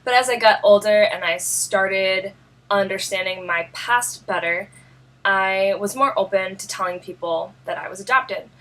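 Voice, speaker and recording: A woman's voice: mid-range voice, fairly bright tone, low-quality recording. Earnest young woman with a New York accent. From Russia. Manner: earnest, halting and searching for words. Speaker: vlogger. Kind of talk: personal vlog.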